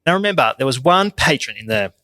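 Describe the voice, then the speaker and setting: A man's voice: mid-range voice, sing-song, fast, bright, forceful tone, dry recording, faint music behind. Understated Australian man, close dry sound. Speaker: podcast guest, competition barista. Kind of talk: casual podcast chat.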